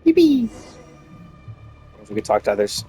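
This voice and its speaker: Woman streamer, casual gameplay reactions, very animated intonation, slangy, rambling, excitable, faint music behind. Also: very deep voice, normal speed.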